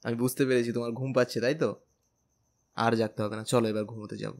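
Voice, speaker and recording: A man playing a tender, slightly insecure young lover in a romantic audio drama, speaking gently and intimately. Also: mid-range voice, little pitch movement, fast talker, close to an everyday voice. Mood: gentle.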